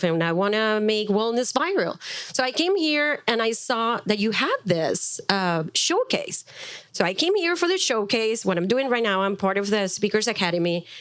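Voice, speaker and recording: A woman's voice: mid-range voice, dry recording, studio quality. Middle-aged native Spanish-speaking woman, chatty. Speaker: wellness coach. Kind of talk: informal spoken introduction before a live group.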